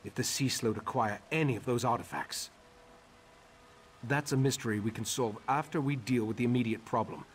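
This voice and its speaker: A man playing a grave, scholarly leader of a mage order in a video game, speaking in a measured, formal way. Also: medium pitch, slight background noise, natural voice. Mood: urgent. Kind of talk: scripted video game character dialogue. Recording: long echoing hall reverb.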